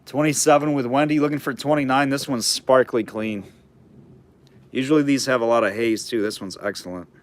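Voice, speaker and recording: A man running a live online auction, calling bids and chatting loosely about the items, his throat getting hoarse. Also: mid-range voice, a little fast. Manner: chatty, rambling asides between bid calls.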